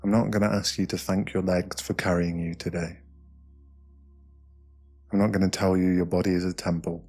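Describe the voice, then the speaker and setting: Deep-voiced British man, hushed meditation narration. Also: level tone, soft background music. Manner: slow, hushed, soothing delivery with short phrases and pauses. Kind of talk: ASMR guided meditation, scripted narration.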